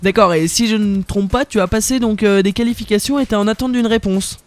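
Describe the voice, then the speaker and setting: A man's voice: high-pitched, quick pace. A young man hosting a radio interview, talking casually with many fillers and restarts. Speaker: radio host.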